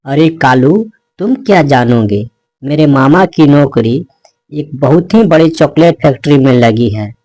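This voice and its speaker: A man's voice: fairly high pitch, lively intonation, a little slow, darkish tone, no room sound. A man voicing an evasive, boastful male parrot in a children's cartoon, defensive in manner. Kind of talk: Hindi children's cartoon dialogue.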